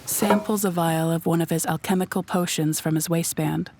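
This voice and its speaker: Lower-voiced woman narrating audio drama. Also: fairly even intonation, moderate pace, background noise. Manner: descriptive storytelling.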